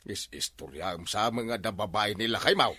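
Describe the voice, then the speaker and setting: An older Ilocano father acted by a man, placating and hesitant in comedy drama style. His voice sits low and bright. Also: moderate pace, no room sound.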